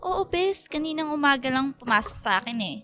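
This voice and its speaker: A young Filipina-accented woman, the loyal, pushy best friend in a radio drama. Her voice sits high and she talks in a chatty, matchmaking way. Light music plays underneath. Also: animated, fairly quick, bright, forceful tone. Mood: breezy, friendly.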